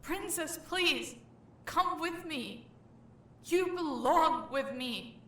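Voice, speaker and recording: Defiant lovelorn young woman played by a woman, slow, drawn-out pleas ringing through an echoing hall. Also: high voice, expressive, a bit bright, heavily acted. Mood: pleading. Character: desperate, pleading young woman, defiant lover of princess. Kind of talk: audio roleplay drama acting. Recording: echoey hall.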